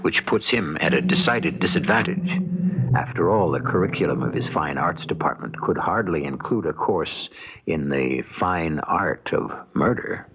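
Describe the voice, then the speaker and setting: A man hosting an old-time radio mystery, narrating in a wry, theatrical and deliberate manner. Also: rumbling low, expressive, creaky, slightly rough. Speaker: radio drama host and narrator. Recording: muffled old radio recording. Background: music.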